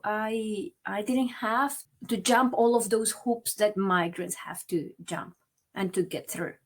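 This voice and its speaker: A woman from Venezuela with a Venezuelan Spanish accent, a native Spanish speaker, telling her personal story as an interview guest in a reflective way. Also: medium pitch. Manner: reflective, many "you know" fillers.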